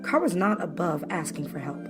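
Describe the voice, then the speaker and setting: A woman narrates a scripted story in a dramatic style. The voice is a little on the low side. Music runs quietly underneath the reading. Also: big pitch swings, a little fast. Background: background music.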